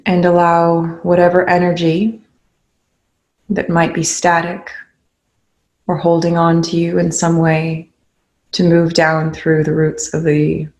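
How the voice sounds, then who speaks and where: A meditation leader guiding listeners in a soothing, unhurried way, with pauses between short instructions. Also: mid-range voice, completely flat delivery, a little mellow. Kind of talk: guided meditation.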